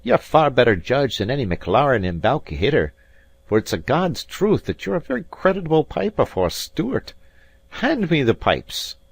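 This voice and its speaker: A man reading aloud and voicing a mocking, mock-courteous Highland rival piper in a voice. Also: big pitch swings, moderate pace, gravelly, slightly rough, dry recording, exaggerated voice. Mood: needling.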